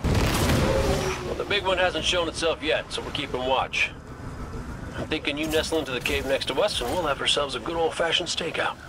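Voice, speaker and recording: An actor playing a cocky, restless, wisecracking gunslinger in a video game, with a jokey, folksy manner. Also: male voice, on the high side, normal speed, lightly acted. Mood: playful. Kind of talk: scripted game dialogue. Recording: noisy game action behind.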